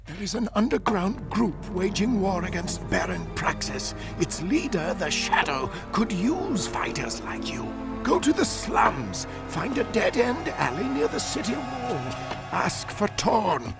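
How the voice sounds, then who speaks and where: Frail cryptic old man, high lively voice, grave and measured, music behind. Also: full character voice. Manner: solemn, measured.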